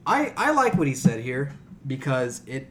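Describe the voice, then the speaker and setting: A man's voice: mid-range voice, huge pitch swings, normal speed, a bit bright, a little hiss or hum. A man co-hosting a casual gaming podcast, joking in crude, sweary slang. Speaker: podcast co-host.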